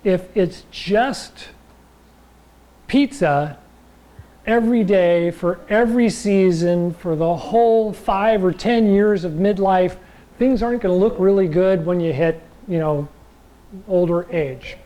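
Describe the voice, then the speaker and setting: Guy teaching tai chi class, bit raspy, mellow tone, chatty and wandering, keeps asking "right?". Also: high-pitched, takes it slowly. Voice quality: slightly raspy voice. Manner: rambling, checks in with listeners. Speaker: chi gung and tai chi instructor. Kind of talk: casual class talk.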